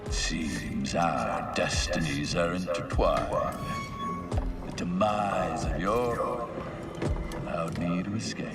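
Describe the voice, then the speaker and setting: A male actor playing a proud, bitter, centuries-old alien warrior villain in a video game, speaking with slow, solemn menace. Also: deep voice. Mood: grave, bitter. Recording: distant game audio under loud music.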